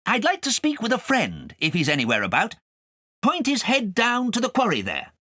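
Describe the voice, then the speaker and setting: A man's voice: sits high, huge pitch swings, normal speed, bright, forceful tone, dry recording, clean studio sound, voice pushed up, full character voice. A man voicing a friendly, knowing witch's cat in a storybook, chatty and matter-of-fact. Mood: brisk, friendly.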